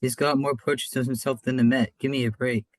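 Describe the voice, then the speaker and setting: A man's voice: mid-range voice, flat delivery, a little fast, close to an everyday voice. An older man playing a wisecracking, streetwise newsboy in a radio play, brash and mocking. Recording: faint noise, clicks.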